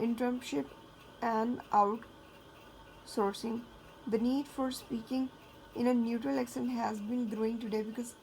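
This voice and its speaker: A woman teacher giving a lecture, talking casually and checking in with her students, then reading English passages slowly. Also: fairly high pitch, vocal fry, a little mellow, slight background noise, poor audio. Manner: frequent checking fillers. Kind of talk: classroom lecture mixing Hindi and English.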